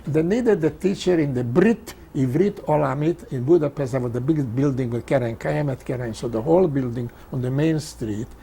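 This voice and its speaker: An elderly man, a native Hungarian speaker with a Hungarian accent, telling his life story in an emphatic, halting way, often repeating phrases. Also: mid-range voice, gravelly, mellow, slightly rough. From Czechoslovakia, Hungarian-speaking. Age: 80s or older. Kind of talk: oral history interview, personal storytelling.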